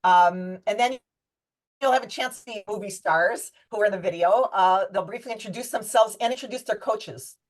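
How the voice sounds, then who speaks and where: A woman's voice: mid-range voice, normal speed. A woman hosting an online panel on a video call, chatty, with many fillers and restarts. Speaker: event host.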